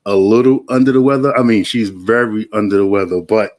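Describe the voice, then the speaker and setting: A man hosts a basketball podcast, speaking in a relaxed, slangy way. His voice sits a little low, and he fills his talk with ums and likes. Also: brisk. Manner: laid-back, slangy, many fillers. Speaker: podcast host. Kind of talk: casual sports podcast chat.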